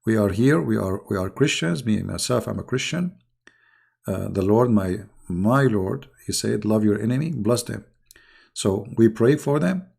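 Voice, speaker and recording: Hoarse, gravelly man mocking his opponents. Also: fairly low pitch, animated, normal speed. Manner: mocking, scornful, with sudden loud rises. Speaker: YouTube channel host. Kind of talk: casual polemical monologue to viewers.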